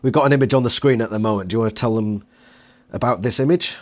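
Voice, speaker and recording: A man's voice: mid-range voice, quick pace. A man with a British accent, interviewing a guest in a casual, chatty style. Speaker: interviewer, channel host.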